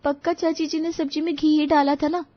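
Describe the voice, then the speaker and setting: Woman playing an eager, very high-voiced young daughter-in-law. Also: level tone, brisk, dry recording, lo-fi sound, close to an everyday voice. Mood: puzzled. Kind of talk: cartoon story dialogue.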